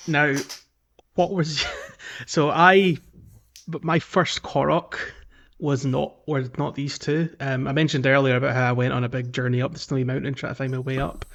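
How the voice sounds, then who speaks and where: A man's voice: mid-range voice, level tone, moderate pace, creaky, a bit bright. A man co-hosting a podcast, discussing a game casually in a hesitant, explanatory way with meandering phrasing. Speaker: podcast co-host. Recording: dull, band-limited voice recording.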